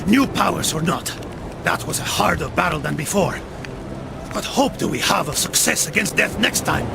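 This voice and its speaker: Gravelly, doubtful young knight. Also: male voice, a little high, animated, normal speed. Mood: weary, doubtful. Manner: questioning, wry. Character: doubtful, sardonic young male crusader knight. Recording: heavy rain and ambience noise.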